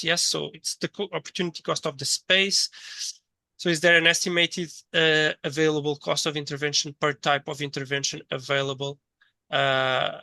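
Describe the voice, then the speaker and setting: Bright, dry-voiced man lecturing, thinking aloud. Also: a little high, gravelly, dry recording. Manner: hesitant, thinking aloud with repeated words. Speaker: course lecturer. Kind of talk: interactive online teaching, reading chat replies.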